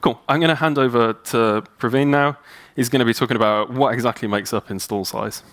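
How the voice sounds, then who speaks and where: Male speaker, upbeat conference talk on a hall stage, handheld mic, mid pitch. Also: steady tone, fairly quick, fairly bright tone. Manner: upbeat, chatty, many ums.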